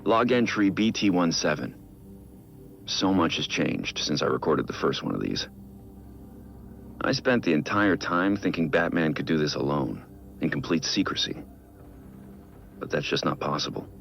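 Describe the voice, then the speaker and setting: An actor playing a brooding billionaire vigilante, recording a measured, reflective personal audio log in a game. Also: male voice, expressive, dull audio, heavily acted. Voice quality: low gravelly voice. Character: brooding billionaire vigilante, man in his prime.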